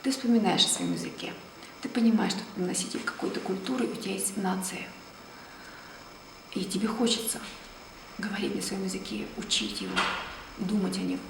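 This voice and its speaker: Soft-spoken woman, roomy distant recording. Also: medium pitch, big pitch swings, gravelly. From Russia. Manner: thoughtful, unhurried, often trails off softly. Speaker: ballet dancer, interviewee. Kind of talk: reflective interview answers. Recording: roomy, distant mic, noisy background.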